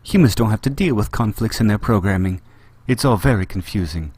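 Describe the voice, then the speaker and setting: A man's voice: fairly low pitch, expressive, a little fast, darkish tone, slightly rough, no room sound, pitched above the performer's own voice. A man voicing a nervous male protocol droid who talks in a prim, formal way. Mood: fretful.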